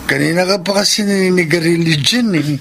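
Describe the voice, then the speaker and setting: An actor with a Filipino accent playing a mild, loyal young writer in a radio drama, polite and hesitant. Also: male voice, sits high, brisk, creaky, fairly bright tone, slight background noise. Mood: relaxed. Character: mild, loyal young screenwriter, flustered by advances.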